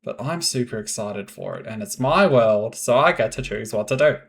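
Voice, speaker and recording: A man hosting his own Minecraft building videos, chatting casually in a rambling, monotone way and laughing often. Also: moderate pace. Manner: monotone, fairly even pitch. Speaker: Minecraft builder and channel host. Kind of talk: casual gameplay commentary and voiceover.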